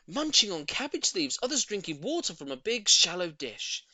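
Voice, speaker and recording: Animated, bright-voiced storybook reader, a man. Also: fairly high pitch, gravelly, no echo. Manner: expressive, theatrical emphasis. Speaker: audiobook reader. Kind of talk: animated children's storybook narration.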